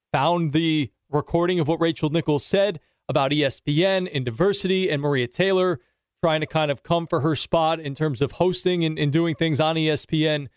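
A man hosting a casual sports talk interview, talking in a halting, rambling way with repeated phrases. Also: on the high side, dry recording, clean studio sound. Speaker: podcast host and interviewer.

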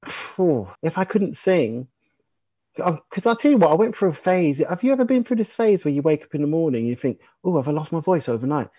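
A man's voice: fairly high pitch, brisk, husky, no echo. British man chatting casually, dry close sound. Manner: animated, acts out sounds and remembered dialogue. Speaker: singer, interview guest. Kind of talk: casual conversational storytelling.

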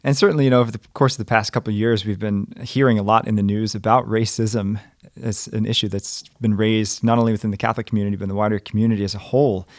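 A man's voice: fairly low pitch, steady tone, fast talker, no echo, clean studio sound. A man, a priest, hosting a podcast interview in a friendly, conversational way.

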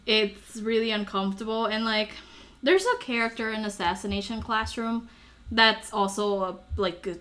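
Bright-voiced, giggly young woman. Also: on the high side, moderate pace. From Thailand. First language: Thai. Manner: giggly, chatty, many fillers. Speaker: podcast co-host. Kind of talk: casual chat.

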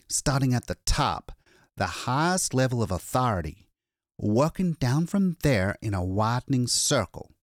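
A man's voice: mid-range voice, no echo, clean studio sound, voice pushed up. A man voicing a desperate, exhausted man who escaped a captured town, speaking breathless and urgent.

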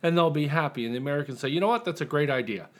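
A man's voice: on the high side, rapid-fire. A man chatting casually as an author guest in an interview, telling his story in a wry, dry way.

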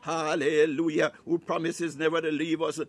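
Fervent prayer leader, higher-voiced man. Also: level tone, normal speed. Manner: declamatory, short phrases punctuated by repeated exclamations to God.

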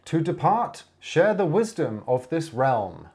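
A man's voice: fairly high pitch, sing-song, takes it slowly, a little mellow. A man co-hosting a podcast, reading a whimsical story aloud with playful storybook emphasis and chatting casually in between. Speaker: podcast co-host.

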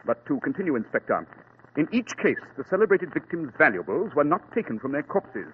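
An actor playing a brisk, cool-headed male detective in a crisp, clipped, matter-of-fact manner, in 1940s radio acting. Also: a little high, very animated. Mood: calm. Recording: tinny lo-fi old radio broadcast with faint hiss.

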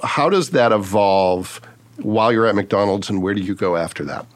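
Male podcast host with a lower voice, encouraging interviewer who affirms often. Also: moderate pace. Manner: friendly, encouraging, frequent affirmations.

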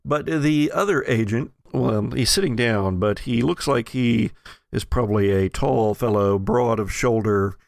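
A man hosting a tabletop game as game master, improvising descriptive narration in a halting way. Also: mid-range voice, vocal fry, no room sound. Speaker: game master and podcast host.

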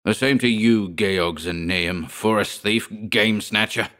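A man's voice: on the low side, slow pace, sharp tone. A man voicing a proud aristocratic landowner with a fierce, haughty manner in a storybook tale. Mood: bitter defiance. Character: proud, fierce aristocratic landowner, indignant at his trapped enemy.

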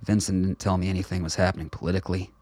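A man playing a gruff, suspicious older father in a radio play, with a terse, profane, guarded manner. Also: deep voice, little pitch movement, rapid-fire, dark tone, no echo, studio quality. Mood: wary. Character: gruff, suspicious old father on a covert mission.

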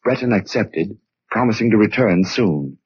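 A man's voice: on the low side, animated, moderate pace, slightly rough. A man with an American accent delivering scripted narration for a 1940s radio mystery. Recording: muffled 1940s radio recording.

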